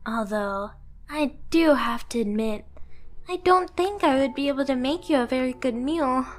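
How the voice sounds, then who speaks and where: A woman's voice: high voice, moderate pace, natural voice. A woman playing a timid, clumsy young catgirl pet who is eager to please, speaking in a meek, hesitant, apologetic way in an anime-style ASMR roleplay.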